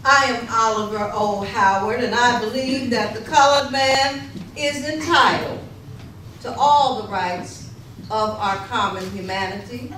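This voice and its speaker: A woman playing a resolute Civil War general in a readers theater, in a deliberate, declamatory speech. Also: on the high side, fairly bright tone. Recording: distant, echoey hall, clear noise.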